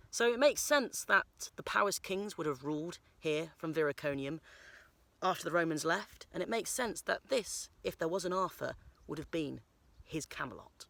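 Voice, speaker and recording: A man's voice: a little high, vocal fry, pushed and bright. A man narrating a travel story as a storyteller, speaking to camera outdoors with a wry, playful manner. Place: outdoors on a cycling trip.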